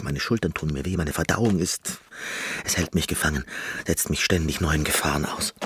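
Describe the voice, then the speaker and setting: An actor playing a neurotic, reclusive and obsessive pianist in a radio drama, speaking with brooding, halting intensity. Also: male voice, very deep voice, fairly bright tone. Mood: anguished, brooding. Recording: radio play with music underneath.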